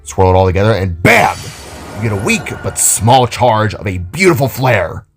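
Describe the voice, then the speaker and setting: A man's voice: lowish voice, big pitch swings, normal speed, soft background music. A man voicing an older, kindly and enthusiastic robot teacher with a theatrical, explanatory way of talking. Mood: proud. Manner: showy, explanatory.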